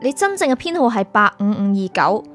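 女聲演嚴厲冇耐性嘅女檢察官，港式粵語，有配樂. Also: female voice, on the high side, big pitch swings, fairly quick, fairly bright tone. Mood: stern, official. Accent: Hong Kong Cantonese. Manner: curt, authoritative. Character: stern, impatient female spirit prosecutor interrogating a suspect. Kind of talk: radio drama acting. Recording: music behind.

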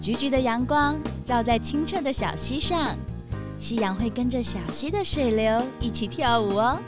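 A woman with a Taiwanese accent voicing a gentle, timid female deer in a children's audio drama, sweet and expressive. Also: fairly high pitch, moderate pace, music in the background, close to an everyday voice. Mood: dreamy.

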